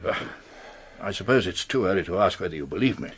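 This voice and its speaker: Casting: nervous upper-class English knight, radio drama, husky mid-range voice, hesitant, lively intonation. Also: male voice, sits low, fast talker, exaggerated voice. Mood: stiffly polite. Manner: hesitant, stammering, polite. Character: anxious titled English gentleman confessing under questioning. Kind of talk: British radio detective drama acting.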